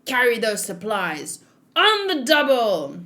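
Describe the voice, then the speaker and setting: A woman voicing a harsh, bullying overseer of forced laborers from a manga, barking drawn-out, menacing orders. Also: on the high side, wildly swooping pitch, sharp tone, heavily acted. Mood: commanding, threatening. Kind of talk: manga read aloud.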